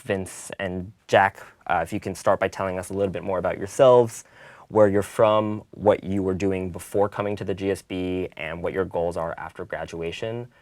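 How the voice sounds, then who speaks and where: A man's voice: deep voice, a little mellow, no echo, clean studio sound. A man in his twenties with an American accent, moderating a student question-and-answer webcast. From northern New Jersey, United States. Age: 20s.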